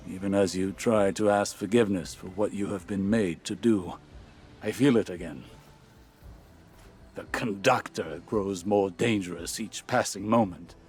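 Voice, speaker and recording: An actor playing a grave, haunted older mentor in a sci-fi game, formal and portentous. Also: male voice, on the low side, natural voice. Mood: foreboding, urgent. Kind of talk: scripted sci-fi game dialogue.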